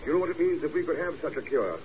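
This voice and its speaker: Man as calm, unflappable secret agent. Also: a little high, racing, natural voice. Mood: serious, calm. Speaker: espionage agent. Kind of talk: 1950s radio drama acting. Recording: muffled old radio recording with hiss.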